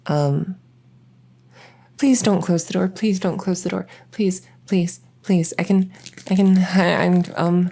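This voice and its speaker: A woman's voice: on the low side, steady tone, takes it slowly, creaky, mellow, exaggerated voice. A woman playing a shy, socially awkward vampire in an ASMR roleplay, speaking hesitantly with anxious stammers. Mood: anxious, pleading.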